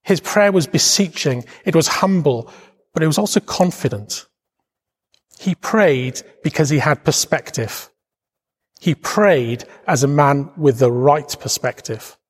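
A middle-aged man with a Welsh accent preaches in an earnest, reflective way, leaning hard on key words. His voice sits a little high for a man. It rings out with a long reverb, like a large hall. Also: measured pace. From Wales. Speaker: charity leader giving a Bible talk. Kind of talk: devotional sermon talk.

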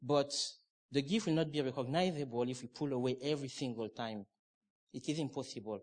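A man's voice: mid-range voice, fairly quick, darkish tone. A middle-aged man from Cameroon preaching a sermon, emphatic and conversational, with restarts and asides.

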